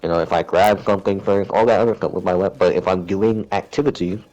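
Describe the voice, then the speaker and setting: A man with a very low, gravelly voice talks in a slow, winding way, stopping and restarting his sentences. The sound is a bit dull and lo-fi. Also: steady tone. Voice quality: deep, gravelly voice kept raised. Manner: rambling, hesitant, frequent fillers and restarts; soft-spoken, self-described shy. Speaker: gamer chatting on stream. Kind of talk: casual chat.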